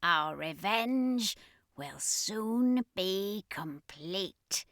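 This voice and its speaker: A woman's voice: mid-range voice, animated, very slow, fairly bright tone, dry recording, studio quality, full character voice. A woman voicing a cruel male alien commander in a science fiction story, imperious and vengeful. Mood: menacing, vengeful. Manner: imperious, gloating.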